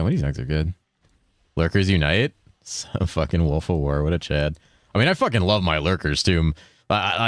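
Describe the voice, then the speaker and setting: A laid-back young man chats with his viewers while he plays, rambling and swearing easily. His voice is very low, though he keeps it a little above his natural depth. It sounds close and dry, with almost no room around it. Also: no echo. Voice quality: deep voice that he holds a bit higher than his natural one. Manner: laid-back, rambling, swears a lot, reads chat aloud. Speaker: game streamer. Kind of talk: casual live-stream chat while gaming.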